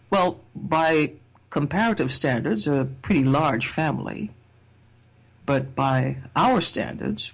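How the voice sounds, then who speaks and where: A woman from Trinidad giving a reflective personal talk in a measured, thoughtful way, with wry humor. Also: deep voice, gravelly, slightly rough. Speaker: speaker sharing a personal essay.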